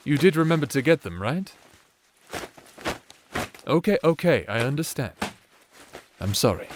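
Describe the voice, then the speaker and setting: A man playing a doting, teasing evil necromancer husband in an intimate ASMR roleplay, speaking softly with flirtatious endearments. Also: fairly high pitch, very animated, unhurried, background noise. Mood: concerned, soothing. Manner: soft, soothing, flirtatious, affectionate endearments.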